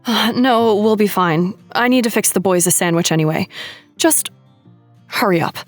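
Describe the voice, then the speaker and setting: A woman's voice: mid-range voice, moderate pace, faint music behind, close to an everyday voice. A woman playing an anxious wife and mother in a haunted-house audio drama. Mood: nervous, pleading.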